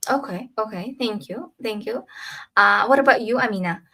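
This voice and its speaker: A woman's voice: fairly high pitch, normal speed, pushed and bright. A woman moderating an online panel, asking long, rambling questions with many fillers.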